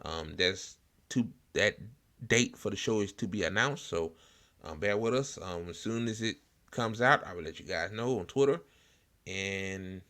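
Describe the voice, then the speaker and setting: Deep-voiced American man, bright and forceful. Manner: informal, hesitant, halting delivery with blunt slang. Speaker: host of a political commentary show. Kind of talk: casual unscripted political commentary.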